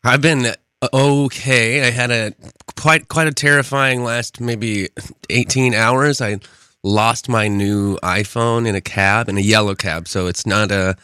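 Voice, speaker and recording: A man's voice: medium pitch, steady tone, unhurried, bright, forceful tone, clean tone, no room sound, studio quality. A man co-hosting a casual podcast, chatty, who often stumbles over his words. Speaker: podcast co-host.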